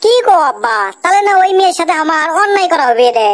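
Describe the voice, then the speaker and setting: Cartoon teen son, played by a man: very high pitched-up voice, rapid, whiny, brash. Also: expressive, fairly bright tone. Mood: excited, curious. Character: lazy, excitable, scheming teenage village son. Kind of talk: comic cartoon dialogue.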